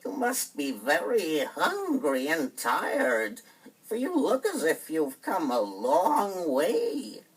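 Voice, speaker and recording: A man's voice: high voice, wildly swooping pitch, husky, far from the mic, pitched above the performer's own voice, full character voice, low-quality recording. A man voicing a sly, sweet-talking old woman from a storybook, drawing out the words coaxingly. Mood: sugary coaxing. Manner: slow, drawn-out sugary coaxing. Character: sweet-talking wicked old crone in gingerbread house. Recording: vinyl storybook record with music behind.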